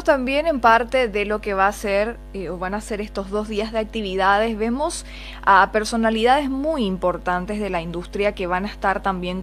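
A woman radio host asking a guest a long, formally worded question in a studio, speaking into a desk microphone. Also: mid-range voice. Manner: polished, formal address. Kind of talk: interview question. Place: radio studio with large windows. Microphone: studio desk mic.

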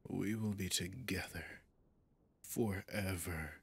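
Man doing a deep, breathy, possessive demon. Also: fairly even intonation, heavily acted. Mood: possessive, triumphant. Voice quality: gravelly, breathy, often whispering. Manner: slow, seductive, menacing, condescending. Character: possessive gloating male demon possessing a human. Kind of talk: ASMR roleplay.

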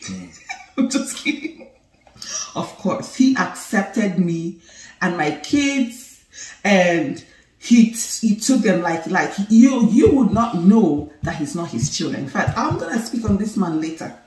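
Nigerian woman, 30s, animated and teasing, roomy far-off living-room sound. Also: fairly low pitch, slow, slight background noise. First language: Igbo. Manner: animated, laughing, playful and teasing. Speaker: co-host of a couple's channel. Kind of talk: casual chat to camera. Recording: roomy, somewhat distant sound.

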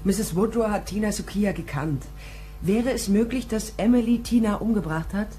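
A woman's voice: mid-range voice, moderate pace, warm tone, soft background music, close to an everyday voice. An actress playing a tough, persistent woman private detective in a crime radio play, dry, probing and direct. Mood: probing, insistent. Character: tough, persistent female private detective, dryly world-weary. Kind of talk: crime radio play acting and first-person narration.

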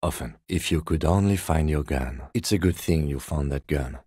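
Very deep, deadpan police detective. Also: male voice, breathy edge, no echo, studio quality. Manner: measured, restrained, deadpan, formal. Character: composed, dry-witted middle-aged male police detective. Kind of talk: video game character dialogue.

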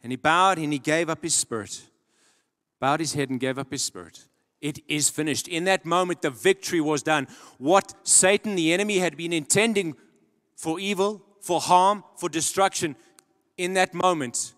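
A young man preaches from a big church stage, holding a handheld mic. His voice sits a little high, and he moves from loud emphatic peaks down to low, quiet lines. A long reverb from the hall trails after his words. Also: normal speed. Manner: dramatic, builds to loud emphatic peaks then drops low. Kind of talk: sermon.

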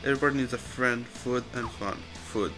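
A man gives casual let's-play commentary, halting and thinking aloud as he plays. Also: medium pitch, music in the background, rough recording. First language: Spanish. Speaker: gamer commentating his play.

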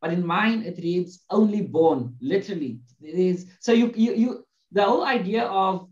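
A man's voice: high-pitched, moderate pace, a little mellow. Afrikaans-speaking man on a roomy call. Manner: hesitant, many restarts, gestures while talking. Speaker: guest. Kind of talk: casual video-call discussion. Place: small room, indoors. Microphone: webcam on video call. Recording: roomy, dull video-call audio.

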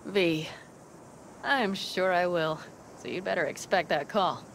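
An actress playing a fiery, strong-willed young nomad woman in a video game, with expressive, emphatic delivery. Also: lowish voice, slow, a little hiss or hum. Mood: wry, warm. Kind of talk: cinematic video game voice acting.

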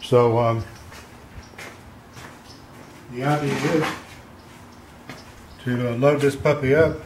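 A middle-aged man talks through a homemade project in an ordinary, mid-pitched voice, plain-spoken and loose, with plenty of ums and uhs. He is picked up by a camera microphone across a home garage. A faint background hiss sits under his voice. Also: steady tone, slow pace, poor audio. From Texas. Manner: rambling, plain-spoken, many fillers. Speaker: DIY maker. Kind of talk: casual how-to demonstration. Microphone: camera mic from a distance.

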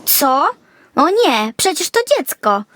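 A woman's voice: high-pitched, sing-song, takes it slowly, a bit bright, pitched above the performer's own voice, heavily acted. A woman playing a small, grumpy, nervous male Christmas elf who frets and grumbles in a children's audio fairy tale. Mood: startled, cross. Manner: fretful, grumbling, exclaiming.

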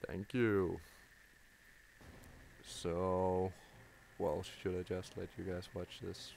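Low, flat-voiced Danish man gaming. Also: vocal fry. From Denmark. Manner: tired, halting, many ums and restarts. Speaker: gamer commentating his play. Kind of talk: live let's play commentary. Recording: loud background noise over the voice.

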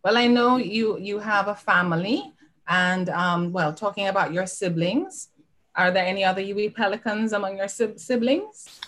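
A woman's voice: medium pitch, normal speed, fairly bright tone. A middle-aged woman hosting an online alumni interview, formally reading the guest's introduction over a video call. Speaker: host. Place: home room. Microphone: video call webcam.

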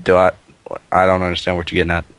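Deep-voiced deadpan male prank caller. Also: very fast, clear, resonant tone, dry recording. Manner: deadpan, feigned earnest. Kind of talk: deadpan prank phone call. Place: group internet voice call.

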